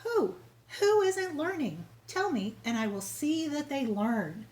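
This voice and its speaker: A woman voicing a proud, earnest, excitable male school principal in an emphatic children's storybook read-aloud. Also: high-pitched, slow pace, pitched above the performer's own voice, exaggerated voice. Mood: worried, startled. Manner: emphatic, repetitive, exclaiming.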